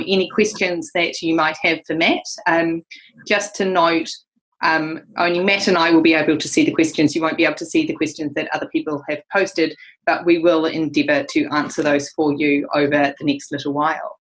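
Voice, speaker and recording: Woman, host, deep for a woman, friendly and orderly, video call. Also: fairly bright tone, rough recording. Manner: friendly and organised, with some fillers. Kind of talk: hosting an online interview.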